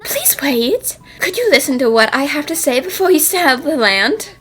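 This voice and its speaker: A woman's voice: high voice, very animated, normal speed, a bit bright, faint music behind. A woman acting a confident, spirited young female detective in a cartoon fan dub, explaining things politely with self-assurance. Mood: earnest, insistent.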